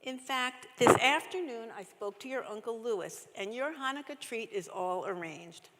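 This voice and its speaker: Woman reading as a gentle father, echoing hall. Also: fairly high pitch, expressive, moderate pace, voice pushed up. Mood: reassuring. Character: gentle, tender widowed father. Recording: echoey church hall, long reverb.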